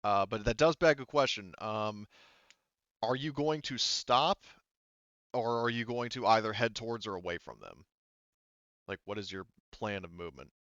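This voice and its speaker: A man with a smooth, lowish voice works as game master, talking casually and feeling his way through explanations. The sound is close and dry, slightly dull. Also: normal speed, a bit bright, no echo. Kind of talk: improvised tabletop game narration and rulings.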